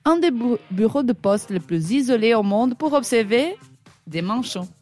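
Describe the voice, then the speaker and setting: A woman's voice: a little high, expressive, normal speed, a little mellow, soft background music. A woman whose first language is not French, giving an ironic, chatty radio chronicle with frequent hesitations. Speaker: radio show columnist.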